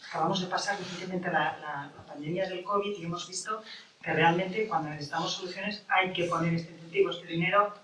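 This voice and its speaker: A woman moderating a panel discussion, speaking in a measured, thoughtful way with tag questions. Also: on the low side. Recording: echoey room from a distance with noise.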